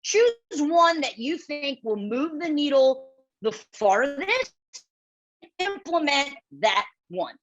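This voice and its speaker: A woman with a loud voice presenting a webinar, blunt and direct, often checking in with the audience as she coaches. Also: sits high, big pitch swings, slow pace. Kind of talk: instructional webinar talk. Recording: dull lo-fi webinar audio.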